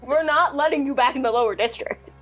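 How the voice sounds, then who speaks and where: A woman's voice: high voice, fairly quick, loud music behind the voice, low-quality recording. A woman talking as a player in a tabletop roleplaying game, casual and informal, with a teasing way about her. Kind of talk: casual game chat among friends.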